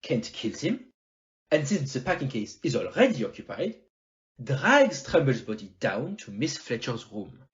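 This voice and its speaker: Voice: mid-range voice, very animated, measured pace, lo-fi sound. An amateur actor in an audio play playing a fussy, confident Belgian detective, theatrical and didactic, with French phrases and rhetorical tags. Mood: assured, didactic.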